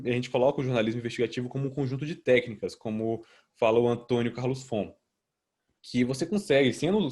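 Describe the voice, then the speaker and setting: A man's voice: medium pitch, darkish tone. A young man with a Brazilian accent presenting a student project in a didactic, conversational but semi-formal way.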